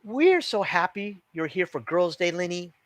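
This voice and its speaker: A man's voice: sits high, sing-song, normal speed, no room sound, voice pushed up. A man voicing a caring but firm mother in a children's storybook. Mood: concerned.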